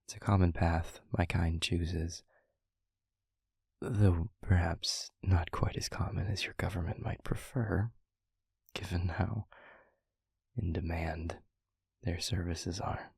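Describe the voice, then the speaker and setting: A man acts out a gentle, reflective demon in an intimate audio roleplay. The voice is very breathy and husky, sitting low and staying even, with soft, measured phrases that hesitate as he thinks. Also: no room sound, exaggerated voice. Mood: reflective, earnest. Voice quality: breathy, husky, half-whispered.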